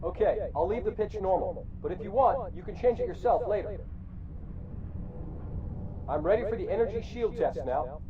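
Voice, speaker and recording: A man's voice: lively intonation, normal speed, long pauses, lo-fi sound. A man voicing a procedural, helpful warship technician in a video game. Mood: businesslike. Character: businesslike male technician in warship cryo bay. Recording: distant voice, loud machinery rumble.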